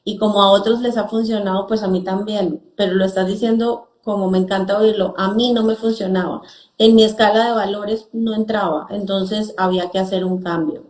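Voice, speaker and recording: A woman's voice: medium pitch, fairly even intonation, moderate pace, darkish tone. A woman hosting an interview in a conversational style, speaking in Spanish. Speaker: interview host. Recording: echoey room sound.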